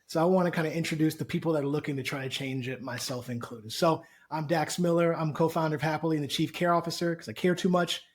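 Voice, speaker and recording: A man's voice: fairly high pitch, fairly even intonation, fast talker, hardly any pauses. A man hosting a casual webinar, upbeat, loose and jokey, speaking over a video call. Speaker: webinar host, co-founder.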